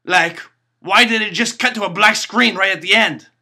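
A man doing a raspy dim puppet host. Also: high-pitched, moderate pace, bright, forceful tone, full character voice. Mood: deadpan. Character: naive self-important male puppet host, cheerfully dim. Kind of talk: comedic puppet show monologue. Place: indoor bedroom.

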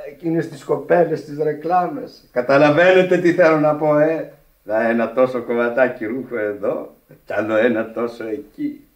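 An actor in a radio play playing a young, boastful, clownish working-class Italian suitor, excitable and wheedling, dropping in Italian words. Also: male voice, mid-range voice, dull audio, lightly acted. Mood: pleading. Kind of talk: radio theatre acting. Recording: echoey archive radio theatre recording.

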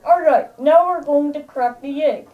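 Boy as exasperated puppet chef, high and slow, recorded from a distance. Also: phone-quality sound. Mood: scolding. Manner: exasperated, scolding. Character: bossy impatient puppet chef.

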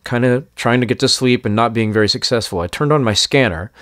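A man's voice: medium pitch, brisk, fairly bright tone, dry recording. Chatty male narrator, dry close sound. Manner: chatty, conversational. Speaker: narrator and host. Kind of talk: casual first-person storytelling.

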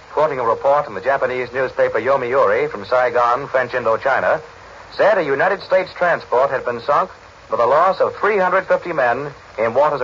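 A man reading news bulletins as a formal, deliberate 1940s radio announcer, on an old broadcast recording. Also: mid-range voice, a bit bright, muffled recording, a little hiss or hum.

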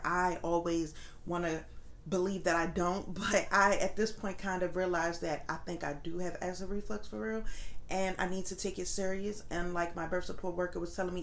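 A woman's voice: lowish voice, slight background noise. Congested young woman chatting candidly. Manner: candid, rambling, many fillers. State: congested, nasal voice. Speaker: vlogger. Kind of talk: casual pregnancy update. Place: living room sofa at home.

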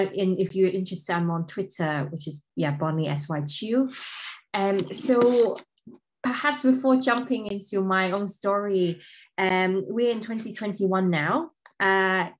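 A woman's voice: medium pitch, rough recording. A woman in her thirties from Hong Kong, giving a casual talk with slides over a video call, with frequent ums and restarts. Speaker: guest speaker.

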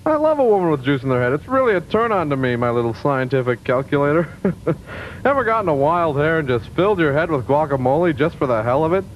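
An actor playing a vain, indignant little male robot on a comedy show, blustering and smooth-talking. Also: a little high, sing-song, fast. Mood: flirty. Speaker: show regular. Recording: dull lo-fi TV recording with faint hiss.

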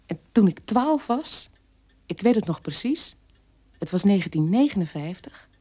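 An actress playing a camp worker's sombre daughter, recalling gravely and with measure in a radio drama monologue. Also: female voice, medium pitch, big pitch swings, unhurried, warm tone.

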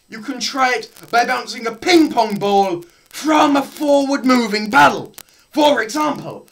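Young male, slow delivery, very animated intonation, theatrical lecturing, emphatic pauses. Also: very high voice, creaky. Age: teens to young adult. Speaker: presenter. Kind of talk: dramatised physics lecture read from a book. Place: small bare room indoors. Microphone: camera a short distance away.

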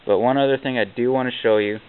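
A man's voice: medium pitch, steady tone, fast talker. A man teaching welding basics in a casual, plainspoken way while chalking on a steel plate in a workshop. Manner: plainspoken, blunt, with fillers like "like". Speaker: welding instructor. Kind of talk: casual hands-on tutorial. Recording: tinny lo-fi camera audio with hiss.

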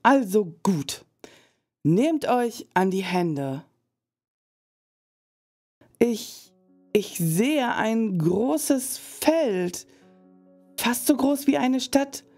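A woman voicing Shirley, a mysterious older medium in an audio drama, speaking in slow, halting visions. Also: a little high, lively intonation, a little mellow, faint music behind, full character voice. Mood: trance. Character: mysterious older female fortune teller. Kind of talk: German fan audio drama.

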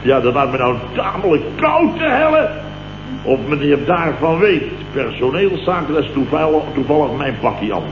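An actor from the Netherlands with a Dutch accent, playing a sleep-starved, self-pitying, blustering company boss who rambles and repeats himself in a comic radio play. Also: male voice, fairly high pitch, very animated, normal speed. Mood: haughty, defensive. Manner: rambling, repetitive, exclamatory grumbling. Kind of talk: late 1960s comic radio play acting. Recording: dull, noisy, roomy old radio recording.

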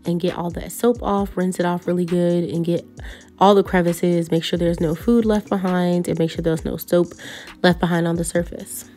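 A young woman talks through her kitchen cleaning in a relaxed, chatty way, laughing lightly now and then. Her voice sits a little low for a woman. Faint music plays underneath. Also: moderate pace. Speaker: vlogger. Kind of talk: casual clean-with-me voice-over.

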